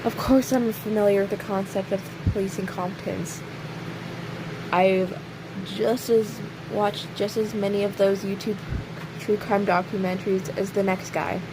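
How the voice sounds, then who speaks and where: A woman playing a snarky, cocky imprisoned serial killer in an audio roleplay, sarcastic and teasing, with pointed pauses. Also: mid-range voice, close to an everyday voice. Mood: sardonic, smug. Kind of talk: audio roleplay acting, addressing an unheard listener. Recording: steady clear background hiss or hum.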